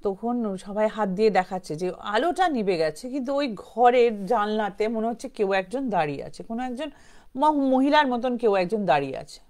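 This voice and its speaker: A woman's voice: medium pitch, animated, normal speed, a little mellow. A woman tells a ghost story informally, in a rambling conversational way with English words mixed in. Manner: conversational, rambling, mixes in English words, acts out quotes lightly.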